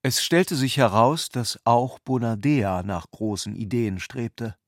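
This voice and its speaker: A man reading scripted literary narration for a radio play in a measured, articulate way. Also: mid-range voice, dry recording, clean studio sound.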